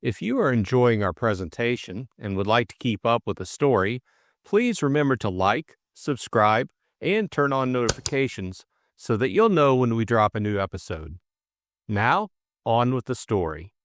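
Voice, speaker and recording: A man narrates an audiobook from a script. His pitch moves in a lively, expressive way across each sentence. The recording is very dry and close, with little room sound. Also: moderate pace, dry recording.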